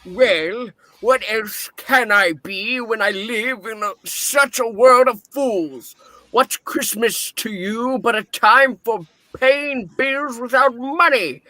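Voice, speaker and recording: A man's voice: very high-pitched, slow, bright, forceful tone, no room sound, exaggerated voice. A man playing a cranky, miserly old boss in a radio-play reading, blustering and snappish with bitter scorn. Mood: scornful.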